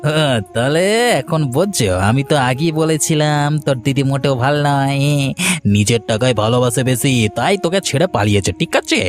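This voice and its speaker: A man's voice: medium pitch, a little fast, faint music behind, natural voice. A man playing a scheming middle-aged village uncle in a cartoon, glib and dismissive in his manner. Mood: sly, dismissive. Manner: glib, brushing off worries.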